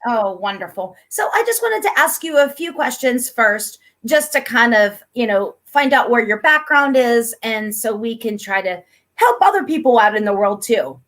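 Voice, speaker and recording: A woman's voice: on the high side, very animated, moderate pace, a bit bright. A middle-aged woman from Pennsylvania, hosting a casual chat interview in a chatty, upbeat way with frequent laughter. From Pennsylvania, USA. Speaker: host.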